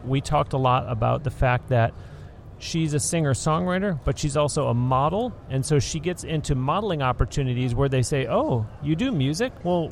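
A man's voice: mid-range voice, slight background noise. A man hosting a podcast interview, talkative and explanatory in long run-on sentences, recorded on location into a handheld recorder. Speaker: podcast host. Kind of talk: interview conversation. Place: on location, indoors. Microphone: studio mic into handheld recorder.

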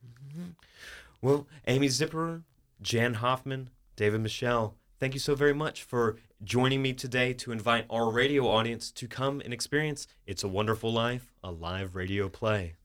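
A man with a medium-pitched voice hosts a radio interview. His phrasing is measured and halting, as he feels his way through each question. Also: a bit bright. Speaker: radio host. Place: radio studio.

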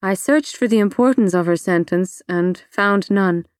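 A woman's voice: mid-range voice, moderate pace, no echo, clean studio sound. A woman reading scripted first-person fiction as an audiobook narrator. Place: close, dry studio recording.

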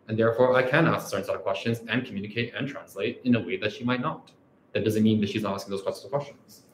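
A man's voice: fairly low pitch, slight background noise. Reflective male academic in an echoey room. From Canada. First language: Urdu. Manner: reflective, often ends points with "right?". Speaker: academic researcher. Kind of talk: scholarly talk.

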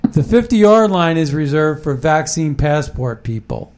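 A man's voice: fairly high pitch, big pitch swings, measured pace, darkish tone. A man hosting a casual political talk show, rambling and emphatic, often restarting his sentences.

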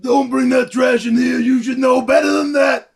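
A man playing a gruff, bossy sitcom brother and coach in a comedic table read, scolding and barking his lines. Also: very high voice, flat delivery, normal speed, shouting, heavily acted.

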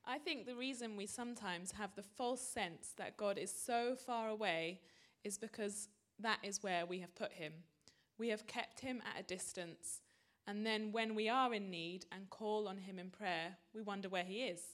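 A woman's voice: mid-range voice, moderate pace, fairly bright tone. Woman reading a quote aloud. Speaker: congregation member reading a quote.

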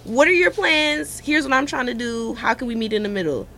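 A young woman from Cleveland co-hosting a talk show, chatting casually with lively, swooping pitch and frank, profane language. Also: a little fast, a little hiss or hum. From Cleveland, Ohio. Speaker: co-host. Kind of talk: casual chat.

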